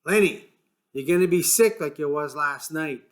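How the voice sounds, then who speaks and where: A man voicing a small, irritable ranch hand who talks gruffly, swears and sounds fed up. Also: fairly high pitch, normal speed, natural voice. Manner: gruff, exasperated, swearing, rough rural speech.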